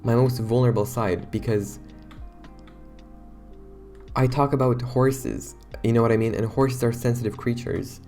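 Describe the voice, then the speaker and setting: A young man talks to his viewers in a loose, rambling, self-conscious way, his deep voice rough and hoarse. There's a gravelly fry under his words, and faint music plays behind him. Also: dark tone. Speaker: YouTuber. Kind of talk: casual talk to camera.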